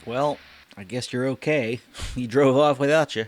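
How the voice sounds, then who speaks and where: A man's voice: medium pitch, fairly even intonation, normal speed, background noise. A man hosting a reaction video, giving casual, informal commentary and talking back to what he watches. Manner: informal, talks back to the show. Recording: old TV soundtrack playing behind. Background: music and dialogue of an old TV show.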